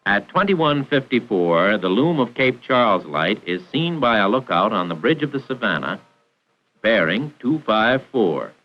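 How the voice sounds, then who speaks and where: A dull, band-limited 1940s film soundtrack carries an American man narrating a training film. His pitch moves up and down freely as he delivers the script in a formal, precise, instructional way. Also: sing-song, a little slow.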